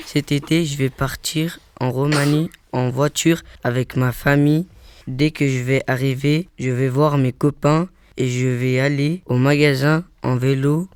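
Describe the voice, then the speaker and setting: A teenage boy reading his own plans aloud in a plain, steady way. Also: medium pitch, clean tone, dry recording, clean studio sound. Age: teens. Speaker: student reading his text. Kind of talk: read-aloud personal account. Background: faint guitar music.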